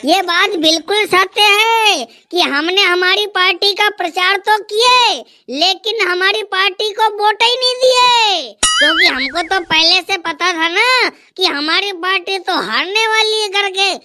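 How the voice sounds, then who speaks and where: A man voicing a drunken, wheedling husband in a rustic Hindi cartoon skit, his voice squeaky and artificially pitched up, blustering and pleading in repeated bursts. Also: measured pace, sharp tone, exaggerated voice. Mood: tearful confessing. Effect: pitch raised like a cartoon.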